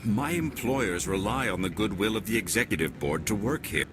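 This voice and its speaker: A man playing a proud, guarded merchant in a video game, speaking in formal, precise, measured phrases. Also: on the low side, lively intonation, natural voice. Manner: formal, precise, stately wording. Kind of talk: video game dialogue acting. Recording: music over the dialogue.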